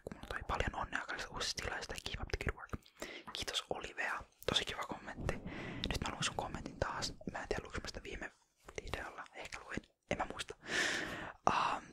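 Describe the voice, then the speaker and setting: An ASMR YouTuber from Finland whispering close to the microphone while casually rambling through viewer comments. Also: bright, forceful tone. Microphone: close ASMR microphone, bumped at times.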